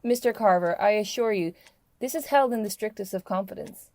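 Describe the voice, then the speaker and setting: An actress in a radio play playing a calm, professional therapist, measured, formal and reassuring as she gently probes. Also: medium pitch, dry recording, close to an everyday voice. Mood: composed, reassuring.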